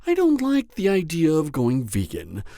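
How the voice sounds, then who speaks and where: A woman's voice: sits low, huge pitch swings, normal speed, dark tone, no echo, clean studio sound, heavily acted. A woman playing a gleeful, mocking cannibal serial killer in a theatrical audio roleplay, taunting the listener. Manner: theatrical, taunting, frequent cackles and breathy whispers.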